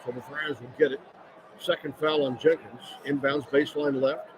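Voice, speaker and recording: A man calling live basketball on the radio in clipped running phrases, getting excitable on big plays. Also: mid-range voice, moderate pace. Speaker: play-by-play radio announcer. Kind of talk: live basketball play-by-play commentary. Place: courtside at a large indoor arena. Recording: loud arena noise behind the voice. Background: loud game ambience.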